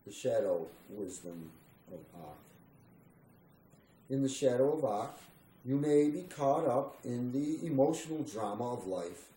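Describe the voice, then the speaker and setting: A man's voice: mid-range voice, expressive, a little slow, warm tone. A man reading a spiritual text aloud in a meditative, scripted style. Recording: roomy echo, faint background noise.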